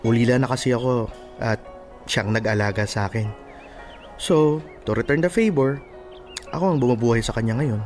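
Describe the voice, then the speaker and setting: A man's voice: mid-range voice, normal speed, long pauses, a little mellow, natural voice. An earnest young Filipino man played by an actor in a radio drama, caring but easily exasperated. His voice is mid-range, mildly scolding, with music behind it. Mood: soft, sincere. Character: caring, exasperated young Filipino office worker, devoted grandson.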